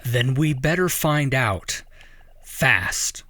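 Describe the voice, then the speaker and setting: Slow, shaken male scientist. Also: mid-range voice, lively intonation, exaggerated voice. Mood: grim resolve. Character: shaken but determined male scientist, grave and intense. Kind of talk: audio drama acting. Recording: loud background ambience under voice.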